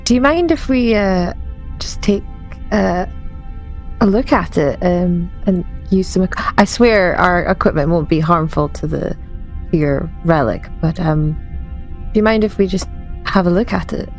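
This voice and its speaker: Casting: female tabletop player, slightly low and creaky, tentative, faint music behind. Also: a little slow. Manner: hesitant, tentative. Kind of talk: improvised in-character tabletop play.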